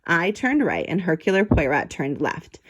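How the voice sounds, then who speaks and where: Woman, lowish voice, lively pitch swings, children's read-aloud, expressive, playful storyteller. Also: normal speed, breathy edge, poor audio. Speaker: storybook reader.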